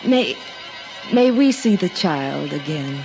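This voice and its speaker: An actress in a 1950s radio drama playing a tender, anxious, hopeful aunt with an earnest, theatrical delivery. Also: female voice, deep voice, big pitch swings, slow, a little mellow, soft background music, exaggerated voice. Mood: amazed. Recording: muffled old radio recording.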